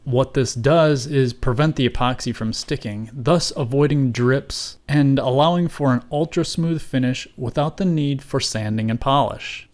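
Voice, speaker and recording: A man's voice: mid-range voice, a little slow, few pauses, a little mellow. A man giving scripted how-to narration in a wry, mock-grand way. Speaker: host and craftsman.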